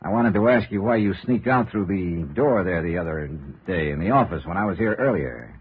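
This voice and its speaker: A man's voice: low-pitched, animated, brisk, husky. An American man playing a tough, shrewd insurance investigator in a 1950s radio noir drama, clipped and sardonic. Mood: sardonic, pressing. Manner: clipped, sardonic, hard-boiled. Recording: muffled, noisy 1950s radio recording.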